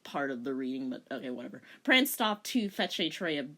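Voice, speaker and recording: A woman's voice: rumbling low, big pitch swings, fairly quick, fairly bright tone. A woman giving a dramatic reading of a story as commentator, with wry, mocking asides. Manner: sarcastic, mocking.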